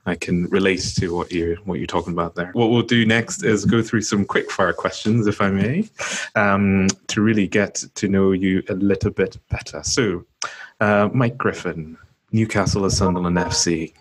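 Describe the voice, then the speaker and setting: A man's voice: low voice, steady tone, moderate pace, slightly rough. A man from Nigeria hosting a podcast, interviewing guests in a conversational way.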